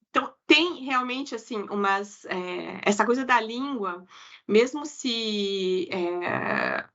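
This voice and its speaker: A Brazilian woman in her forties speaks with a Brazilian Portuguese accent and a bright, forward tone that sits a little high. She talks in a relaxed, hesitant way, often stopping to correct herself. The audio is dull and lo-fi, like a remote interview recording. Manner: hesitant, frequent restarts and self-corrections. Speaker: guest. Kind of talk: casual interview chat.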